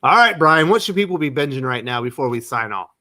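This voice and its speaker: A man's voice: on the high side, lively intonation, quick pace, sharp tone. A man hosting a casual livestream chat. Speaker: livestream host.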